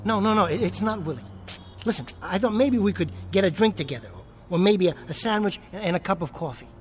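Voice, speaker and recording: A man's voice: high voice, animated, fast, slight background noise. A male actor playing a nervous, haunted ventriloquist in a radio play, stammering and insistent. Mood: nervous, coaxing. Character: jittery, haunted male ventriloquist pleading for company.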